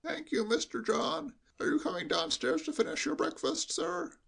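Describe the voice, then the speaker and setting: A man reading a story aloud and voicing a timid old male butler with a high, bleating, quavering voice and a slow, deferential, hushed way of talking. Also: big pitch swings, vocal fry, full character voice. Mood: timid, confiding.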